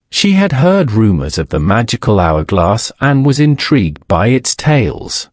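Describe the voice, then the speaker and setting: A man reading a scripted bedtime story as a narrator, in a measured, solemn storybook manner. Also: mid-range voice, a little mellow, no room sound, studio quality.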